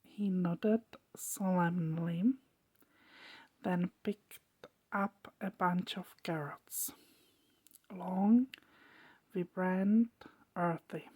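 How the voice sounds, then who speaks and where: A woman narrating a story as ASMR in a soft, hushed whisper, breaking it into short, deliberate phrases. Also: lowish voice, fairly even intonation, breathy edge.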